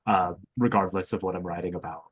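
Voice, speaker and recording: A man's voice: deep voice, fairly even intonation, fast talker, husky, no room sound, studio quality. A young man in an informal podcast discussion, agreeable and affirming as he talks. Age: young adult.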